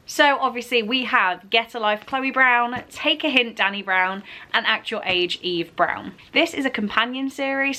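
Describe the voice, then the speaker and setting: Woman, bright crisp-edged voice, medium pitch, chatty, enthusiastic, casual vlog announcement style. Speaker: book vlogger and read-along co-host. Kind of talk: upbeat casual announcement to camera.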